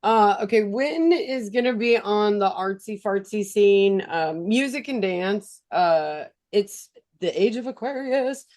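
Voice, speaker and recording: A chatty woman co-host with a stuffed-up, stuffy nose. Her pitch sits in an ordinary middle range. She rambles casually, full of ums and uhs. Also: animated, unhurried, few pauses, a little mellow. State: stuffy-nosed. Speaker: podcast co-host. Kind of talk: casual chat.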